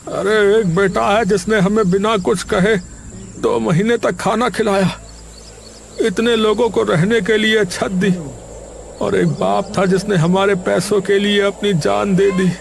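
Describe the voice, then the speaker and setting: A man playing an emotional, repentant village headman in an animated story. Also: sits high, unhurried, vocal fry, slight background noise. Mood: remorseful.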